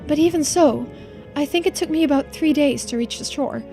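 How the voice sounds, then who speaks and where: A woman's voice: sits high, brisk, warm tone, faint music behind. A woman voicing a girlish, earnest and resigned immortal character in a visual novel. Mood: distressed recollection.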